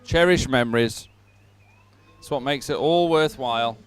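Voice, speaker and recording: Guy doing live boat race commentary, smooth clear voice, pauses then gets a bit sentimental. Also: mid-range voice. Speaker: sports commentator, event presenter.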